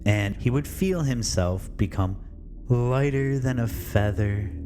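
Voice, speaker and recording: Man playing a sardonic, confiding inner-voice narrator. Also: on the low side. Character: mocking, chatty inner-self narrator, gleefully belittling his host.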